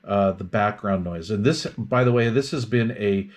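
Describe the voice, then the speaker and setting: A man talking through an unscripted product review in a casual, explanatory way, often pausing mid-phrase as he goes. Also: fairly low pitch.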